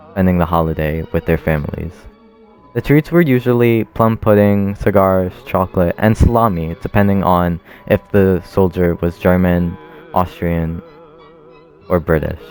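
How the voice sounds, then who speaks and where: Deep-voiced young man narrating. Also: measured pace, darkish tone, soft background music. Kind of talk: scripted explanatory narration.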